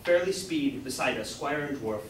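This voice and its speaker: A man's voice: mid-range voice, animated, moderate pace, close to an everyday voice. A man playing a grandiose mock-heroic knight errant in an amateur staged reading, with lofty, declamatory delivery. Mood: lofty, gallant. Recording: echoey room from far off, background noise.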